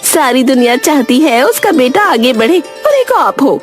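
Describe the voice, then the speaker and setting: A woman's voice: sits high, sing-song, a little slow, soft background music. A woman voicing a scolding village wife and proud, defensive mother in a cartoon. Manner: scolding, teasing. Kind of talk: Hindi cartoon moral-story voice acting.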